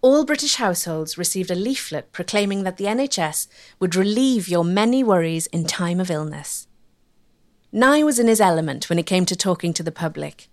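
A woman giving measured, scripted narration for a history documentary. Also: lowish voice, lively intonation. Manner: measured, articulate.

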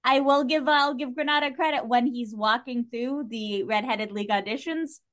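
A woman's voice: fairly high pitch, normal speed, fairly bright tone. Casual, opinionated woman chatting. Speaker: podcast guest.

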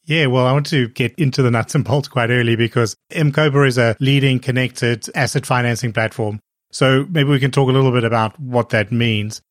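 A man's voice: medium pitch, steady tone, moderate pace, dry recording, studio quality. A man hosting a podcast, reading a polished opening and then asking curious, articulate questions. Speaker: podcast host. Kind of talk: scripted intro and interview questions.

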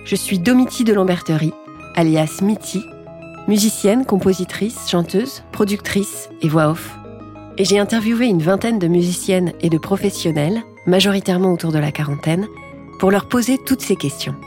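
A woman hosting a podcast, reading a scripted narration in a calm, measured way. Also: medium pitch, faint music behind.

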